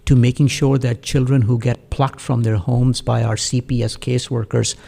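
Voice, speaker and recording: A man from Pakistan, a guest community activist, speaking in a measured, reflective way with frequent fillers in a studio talk show. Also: mid-range voice, flat delivery, vocal fry, dark tone. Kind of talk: earnest talk-show conversation.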